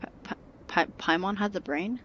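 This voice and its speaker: A woman's voice: medium pitch, normal speed, a bit bright, slight background noise. A woman giving casual gameplay commentary, reacting to the dialogue with joking sarcasm, on a slightly muffled mic. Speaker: game streamer.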